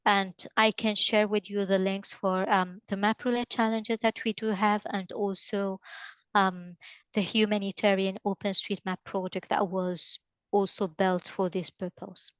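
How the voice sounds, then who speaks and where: A woman's voice: medium pitch, flat delivery, a little slow, fairly bright tone, clear, resonant tone, no echo. A young woman from northern Morocco, a native Arabic speaker with a Moroccan accent, answering hesitantly on a webinar video call with many fillers. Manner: hesitant, many fillers and restarts, friendly advice tone. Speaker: guest speaker from a mapping company. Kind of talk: webinar answer, mixing languages mid-sentence.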